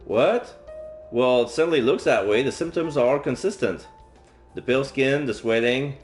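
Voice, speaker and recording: A man's voice: mid-range voice, moderate pace. A man streaming a visual novel, reading its story aloud with dramatic delivery and offhand casual asides. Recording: game music faintly behind. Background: video game music.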